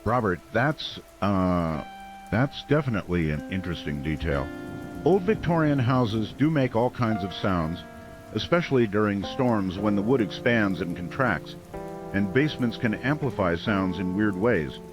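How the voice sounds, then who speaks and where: Small-town night radio host acted by a man: polite, even-handed, folksy, on the low side, over clear music. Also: a little slow. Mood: polite interest. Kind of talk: radio drama, late-night talk show hosting.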